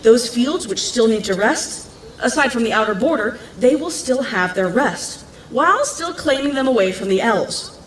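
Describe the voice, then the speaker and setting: A woman's voice: on the high side, expressive, moderate pace, reverberant, a little hiss or hum, lightly acted, poor audio. An actress playing a bold, clever young townswoman in an audio drama, speaking in an earnest, persuasive, impatient manner. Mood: confident, persuasive.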